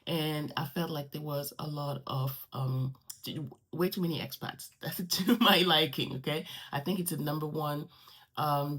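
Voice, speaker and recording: A woman whose first language is French, chatting casually to her viewers in an animated way and laughing often. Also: deep voice, breathy edge. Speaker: vlogger. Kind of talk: casual chat to camera.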